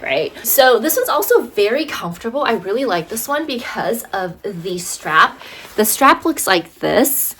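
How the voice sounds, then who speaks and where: A woman's voice: a little high, fairly bright tone. A woman vlogger casually reviewing bags, slightly congested from allergies, chatty with many fillers. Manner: chatty, lots of fillers and "right?". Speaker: fashion vlogger.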